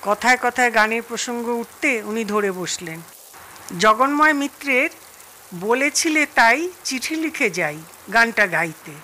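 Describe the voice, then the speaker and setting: An actress playing a chatty Bengali woman who tells family stories in an animated way in an audio drama. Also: fairly high pitch, unhurried. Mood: chatty, amused. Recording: faint noise behind voice.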